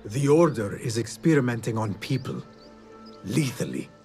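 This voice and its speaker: An actor playing a guarded, determined young assassin in dramatic video game dialogue. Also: male voice, mid-range voice, sing-song, moderate pace, darkish tone. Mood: grave. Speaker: game protagonist. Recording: music behind the voice.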